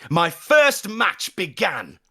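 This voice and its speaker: A man's voice: high voice, wildly swooping pitch, takes it slowly, vocal fry, pushed and bright, husky, no echo, studio quality, pitched above the performer's own voice, exaggerated voice. A man playing a grandiose, menacing schoolboy with earnest self-importance, in a dramatic first-person audio drama monologue. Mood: grandiose, ominous. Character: grandiose, menacing schoolboy obsessed with conker glory.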